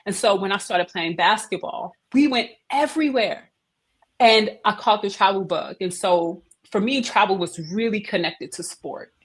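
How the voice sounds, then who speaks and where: A middle-aged woman, a guest on a video-call interview, telling her career story in a lively, emphatic way. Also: mid-range voice, normal speed, slightly rough. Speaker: head of operations, interview guest. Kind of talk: casual interview conversation.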